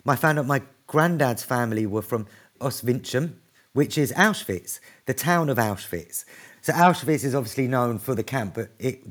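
A man speaks in an average-pitched voice with warm earnestness. He is an author answering a question at a book event. His talk is quick and emotional, full of restarts and asides. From North East London, England. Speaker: author and activist, guest.

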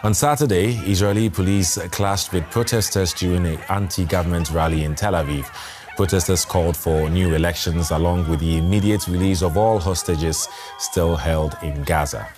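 A man's voice: deep voice, steady tone, normal speed, music in the background. A man presenting the news as an anchor, reading scripted headlines and putting questions to a correspondent.

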